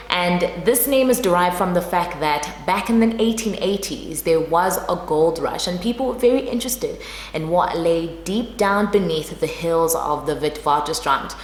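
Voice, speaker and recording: Brief: young South African woman, lowish voice, musing vlog host, echoing room with long reverb. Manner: musing, reflective, with fillers. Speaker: YouTuber and street interviewer. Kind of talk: vlog narration to camera.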